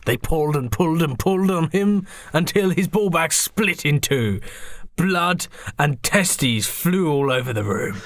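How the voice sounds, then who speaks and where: A man's voice: a little high, expressive, unhurried, no echo, clean studio sound. A man narrating a comic radio play on a podcast in a mock-dramatic storytelling manner.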